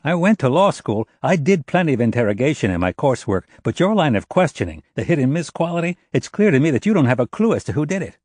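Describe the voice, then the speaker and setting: A man's voice: a little high, sing-song, fast talker, hardly any pauses, a little mellow, no echo, clean studio sound, pitched above the performer's own voice. A man voicing a defensive, suspicious young man being questioned by police, curt and guarded, in an audiobook. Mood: irritated, challenging. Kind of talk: audiobook character dialogue.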